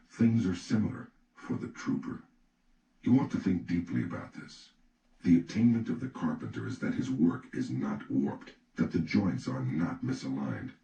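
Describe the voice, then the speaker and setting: Male narrator for a solemn audiobook reading: extremely low voice, dark tone, measured scripted delivery. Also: creaky. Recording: roomy, distant mic with faint noise.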